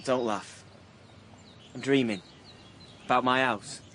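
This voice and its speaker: An actor playing a cheeky working-class young dreamer in a radio drama, bantering in colloquial speech. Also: male voice, mid-range voice, steady tone, slow pace, a bit bright, slight background noise. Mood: playful, dreamy.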